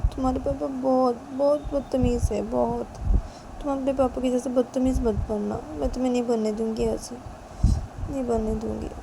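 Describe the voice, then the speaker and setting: A woman putting on Ravi, a shrill fussing father. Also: flat delivery, moderate pace, gravelly, background noise. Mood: soothing, fretful. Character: young father, anxious and scolding.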